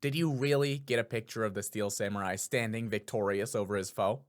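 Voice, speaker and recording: A man's voice: lowish voice, steady tone, fairly quick, slight background noise, voice pushed down, natural voice. A man voicing an earnest defense lawyer from a video game, declaiming dramatically and accusingly.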